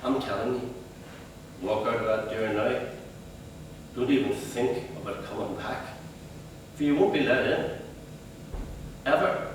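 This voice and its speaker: A man's voice: mid-range voice, a little slow, pauses often, natural voice. A man playing a bitter, bullying father with harsh barks, heard from a distance in a very echoey room. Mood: cold fury. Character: bitter, raging working-class father. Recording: very echoey room, distant mic, faint hum.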